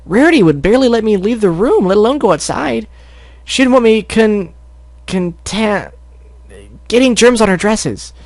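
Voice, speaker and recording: A tiny unicorn filly with a high, squeaky voice that climbs well above the reader's own , put on by a man in strained falsetto. It is a storybook voice, thin and chirpy, recorded close and dry with no room sound. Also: lively intonation, normal speed, dry recording.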